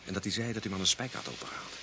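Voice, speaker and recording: An uneasy policeman on night watch in a radio play. His lowish voice moves a great deal as he swaps suspicions and chummy teasing, over clearly noisy background sound. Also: lively intonation, very fast. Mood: suspicious. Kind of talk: Dutch radio play acting.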